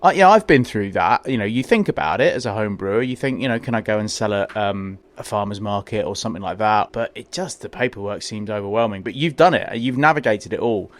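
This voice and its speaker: A man's voice: on the low side, animated, brisk, few pauses. A man from London with a London accent, hosting a podcast interview in a small brewery. He talks with enthusiasm, often repeats words as he starts a thought, and is recorded close and dry. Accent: London English. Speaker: podcast host. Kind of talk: casual interview chat.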